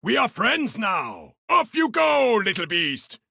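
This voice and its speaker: Game casting: eccentric, cheerfully mad beast hunter; high, wildly swinging pitch, theatrical and exuberant. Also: male voice, sing-song, slow, vocal fry, bright, forceful tone, dry recording, shouting, studio quality, full character voice. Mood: exuberant, gleeful. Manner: third-person self-talk, theatrical, exuberant delivery. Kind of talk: video game character voice lines.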